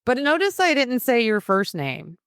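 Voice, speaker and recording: Woman, young adult, podcast host, upbeat, chatty, creaky, dry close sound. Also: a little high, very animated, normal speed, fairly bright tone, dry recording. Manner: upbeat, reads scripted intros. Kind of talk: casual interview hosting.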